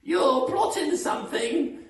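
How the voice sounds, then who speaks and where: A man voicing a shrill, cackling, suspicious old wife from a storybook, shrieking and scolding. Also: lively intonation, slow pace, fairly bright tone, recorded from a distance, exaggerated voice. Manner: scolding, threatening. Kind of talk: storybook character voice. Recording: echoey room.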